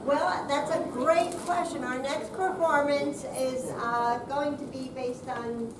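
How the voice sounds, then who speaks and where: A woman's voice: high-pitched, fairly even intonation, slow pace, roomy sound. A woman hosting an informal question session, prompting the audience to take part. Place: room at a senior center. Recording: noisy crowded room, distant mic. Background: loud crowd noise.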